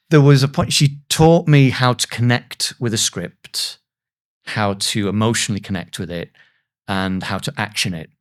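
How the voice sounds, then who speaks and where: Earnest, self-deprecating man in conversation. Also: medium pitch. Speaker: voice actor, podcast guest. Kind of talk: reflective interview conversation.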